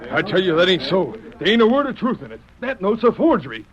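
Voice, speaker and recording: Shifty Old West suspect, high male voice, defensive stammer, rural Western American accent, muffled vintage radio recording. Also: very animated, fast, a crowd in the background. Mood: indignant. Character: shifty, protesting frontier schemer pleading innocence. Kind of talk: 1940s radio western acting.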